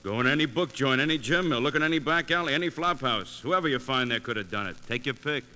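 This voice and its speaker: Bright, forceful, jaded American police lieutenant. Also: male voice, mid-range voice, expressive, quick pace. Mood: dismissive, irritated. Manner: blunt, callous. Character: jaded, dismissive big-city police lieutenant. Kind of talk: 1940s radio noir acting. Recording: noisy 1940s radio transcription disc.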